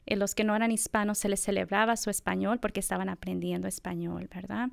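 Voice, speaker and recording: Gravelly yet smooth-voiced woman professor. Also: medium pitch, level tone, fast, dry recording, studio quality. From United States. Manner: thoughtful, reflective, many fillers. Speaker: assistant professor of bilingual education. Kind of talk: conversational interview.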